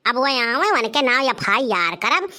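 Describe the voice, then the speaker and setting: An indignant village mother from a comedy cartoon, acted by a woman, with an Awadhi accent. Her voice is high and sharp, and she complains emphatically like a bossy elder sister. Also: sing-song, measured pace, full character voice. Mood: scolding. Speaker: voice actor of a comedy cartoon channel. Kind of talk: dialect cartoon comedy acting.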